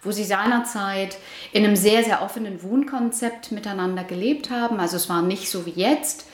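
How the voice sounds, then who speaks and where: A woman's voice: mid-range voice, normal speed. Iranian-born woman in an echoey room. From Iran. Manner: thoughtful, many fillers and restarts. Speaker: interviewee, housing project resident. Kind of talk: casual interview answers. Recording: roomy echo.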